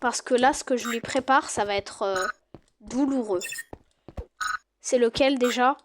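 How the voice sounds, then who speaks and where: A woman's voice: on the high side, a little slow, heavy background noise. Sniffly woman chatting over gameplay. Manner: chatty, excitable, addresses viewers directly. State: stuffy with a cold. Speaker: gaming YouTuber. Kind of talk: casual gameplay commentary.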